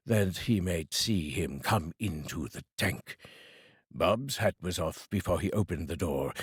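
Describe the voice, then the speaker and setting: A man from the United Kingdom giving a theatrical, expressive reading of a classic story as narrator. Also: deep voice, husky, no room sound, clean studio sound. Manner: theatrical and expressive, savouring phrases. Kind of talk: dramatic audiobook reading.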